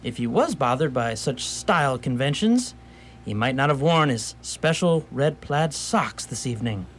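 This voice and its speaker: A man playing a wry storyteller, narrating a scripted radio theatre story in a measured way. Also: mid-range voice, lively intonation, slight background noise, lightly acted. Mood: wry narration.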